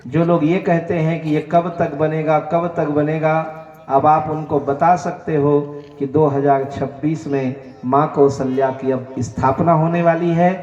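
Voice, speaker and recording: Male preacher, Chhattisgarhi accent, fairly high, long reverb, dull lo-fi sound. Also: little pitch movement, a little slow, darkish tone. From Chhattisgarh, India. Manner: earnest, direct appeals to the crowd. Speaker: religious preacher. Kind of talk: sermon to a village gathering. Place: village public gathering. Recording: echoey, distant, dull sound.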